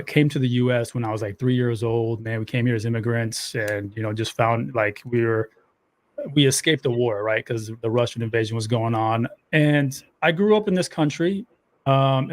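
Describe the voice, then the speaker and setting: A man from Afghanistan talks easily as a podcast guest, restarting his sentences now and then as he works out what to say. His voice sits at an ordinary middle pitch, and his delivery stays loose and conversational. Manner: relaxed, repeats words as he thinks. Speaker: guest, tactics instructor. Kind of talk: casual podcast interview.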